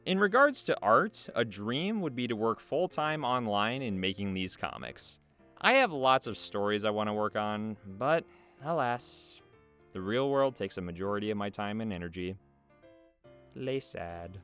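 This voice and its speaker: A man's voice: on the low side, pushed and bright. A man reading out interview questions and answers in a casual, jokey, playful way. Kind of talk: casual scripted interview narration. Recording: music behind the voice. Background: video game music.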